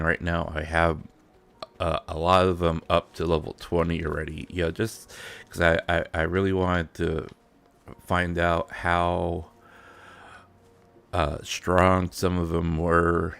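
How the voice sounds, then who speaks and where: Stuffy-nosed guy streaming games, deep voice, slow, lots of ums and restarts. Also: little pitch movement, gravelly, fairly bright tone, smooth. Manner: halting, full of fillers and restarts. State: congested from allergies. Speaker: streamer host. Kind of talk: casual gaming stream chat.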